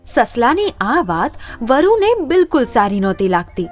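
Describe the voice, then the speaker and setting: A woman narrating a scripted children's story in an expressive storytelling manner. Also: a little high, moderate pace, faint music behind.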